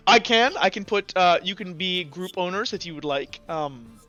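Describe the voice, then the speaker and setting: A man with a high, bright voice runs a tabletop roleplaying game as game master. His narration is casual and improvised, with faint music underneath. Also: expressive, normal speed, dry recording, lo-fi sound.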